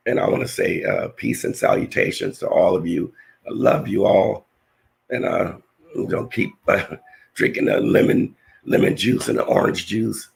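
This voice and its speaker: A man with a hoarse, nearly lost voice, slowly reading Bible verses and teaching a scripture lesson with halting restarts. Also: sits low, darkish tone. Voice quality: raspy, gravelly.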